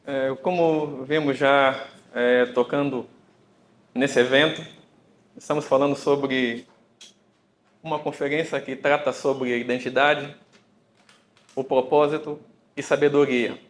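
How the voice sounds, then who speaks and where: A man from Angola giving a conference talk, with an Angolan accent touched by Brazilian Portuguese, speaking hesitantly with many fillers and pauses. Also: mid-range voice, fairly even intonation. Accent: Angolan Portuguese with some Brazilian. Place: conference venue.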